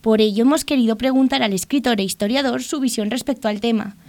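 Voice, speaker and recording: Woman from spain reading a radio news script, slightly high voice, dry recording. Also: quick pace, darkish tone, no room sound. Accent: Spanish from Spain. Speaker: radio reporter. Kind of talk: scripted news report narration.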